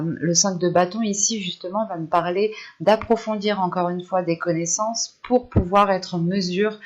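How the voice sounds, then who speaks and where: A woman's voice: on the low side, lo-fi sound. A woman giving an improvised tarot reading, searching for her words and often repeating phrases as she goes. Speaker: tarot reader.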